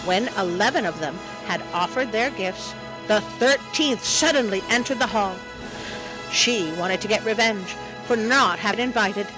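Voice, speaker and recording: A woman's voice: a little high, poor audio. A woman hosting a story show, reading a tale aloud with playful, wry asides.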